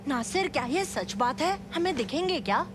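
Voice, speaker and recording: Cartoon lead: curious, playful 12-year-old boy, high voice, noisy effects behind. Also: sing-song, moderate pace. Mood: curious, insistent. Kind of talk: animated children's comedy dub in Hindi.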